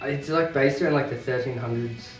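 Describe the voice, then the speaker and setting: A man's voice: medium pitch, fairly quick, very noisy. A young man co-hosting a casual film review chat, rambling and trailing off mid-thought. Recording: roomy, distant mic, faint music.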